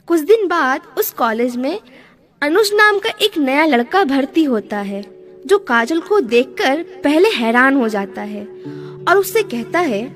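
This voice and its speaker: A woman storyteller with a high-pitched voice and very lively intonation, reading scripted narration for a family moral tale. Music plays behind her. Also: a little slow.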